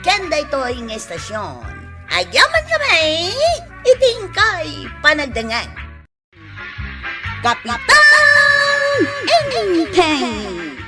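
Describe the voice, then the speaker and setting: An announcer with a Filipino Ilocano accent reads title and credits in theatrical radio drama style over loud music. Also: very high-pitched, wildly swooping pitch, very slow, exaggerated voice.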